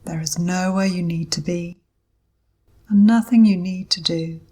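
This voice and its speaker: A woman with a fairly low voice guides a meditation at a very slow pace. She speaks as a yoga instructor, in short, measured phrases separated by pauses. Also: steady tone, mellow.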